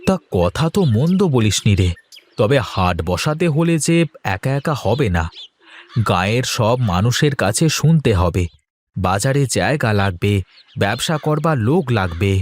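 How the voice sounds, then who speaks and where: An actor playing a confident, easygoing village husband in a cartoon folktale. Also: male voice, mid-range voice, big pitch swings, normal speed. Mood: casual, assured. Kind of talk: Bengali cartoon folktale dialogue.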